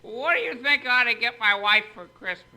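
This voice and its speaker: A man's voice: extremely high pitch, brisk, pushed and bright, exaggerated voice. An actor playing a befuddled, tipsy, polite male passerby in a 1950s radio comedy. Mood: confused, polite. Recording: old radio recording with faint noise.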